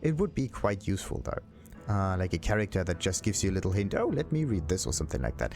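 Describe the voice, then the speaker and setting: A man's voice: deep voice, big pitch swings, fast, creaky, a little mellow, soft background music. A man giving casual, rambling video game commentary as a let's play host, thinking aloud to himself.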